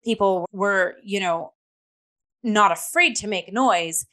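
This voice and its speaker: A woman with a bright, forward voice at a middle pitch hosts a casual arts review. She talks in an animated, chatty way, often dropping in "like" as she goes. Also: slow. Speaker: talk show host and theatre reviewer.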